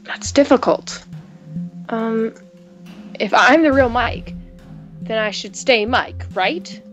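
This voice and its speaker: A playful, excitable woman co-hosting a let's play, reading game dialogue aloud and bantering casually. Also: on the high side, takes it slowly. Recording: clear music behind the voice.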